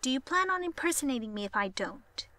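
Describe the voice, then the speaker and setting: A woman's voice: high-pitched, big pitch swings, brisk, bright, forceful tone, full character voice. A woman playing a prim, exasperated female pony in an audio drama, speaking in a formal, haughty, scolding manner. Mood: dry exasperation. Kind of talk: fan audio drama acting.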